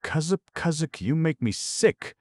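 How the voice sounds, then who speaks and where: A man voicing a haughty patchwork rag-doll girl with a shrill, defiant way of talking. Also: expressive, takes it slowly, no echo, heavily acted.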